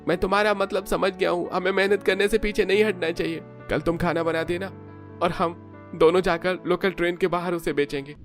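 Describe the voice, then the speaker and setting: A man's voice: sits high, steady tone, fairly quick, soft background music, pitched above the performer's own voice. A man voicing an earnest, worried young working man from a poor family in a cartoon. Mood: resolved.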